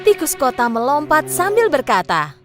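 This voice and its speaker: A woman's voice: high voice, big pitch swings, moderate pace, fairly bright tone. A woman narrating a scripted children's story. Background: music.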